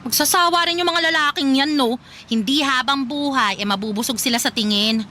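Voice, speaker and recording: A jealous, sassy eatery owner. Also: female voice, sits high, brisk, sharp tone, slight background noise. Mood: scornful. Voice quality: sassy, dramatic. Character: jealous, proud woman who runs a small eatery. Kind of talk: radio drama acting. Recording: music playing behind.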